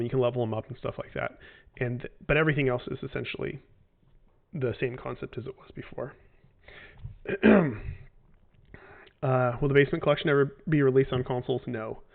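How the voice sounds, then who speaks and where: A man in his forties answering fan questions in a casual livestream, rambling and hesitant with lots of fillers and restarts. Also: mid-range voice. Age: 40s. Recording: tinny phone-like audio.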